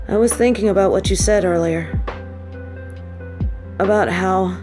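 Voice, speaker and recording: A woman's voice: on the low side, lightly acted. A woman playing a sardonic, vengeful supervillain with a tender side for her partner, in an intimate audio roleplay. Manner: teasing, sighing, halting when confessing.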